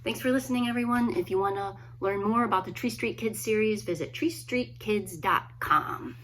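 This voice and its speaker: A woman, a children's author, reading a story aloud expressively, with dramatic pauses and hushed emphasis. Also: mid-range voice, slight background noise, poor audio. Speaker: children's book author reading own work. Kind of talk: expressive storybook read-aloud, friendly introduction.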